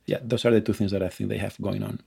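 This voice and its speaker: A man in casual conversation, speaking in a measured, thoughtful way. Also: lowish voice, level tone, gravelly, a little mellow, breathy edge.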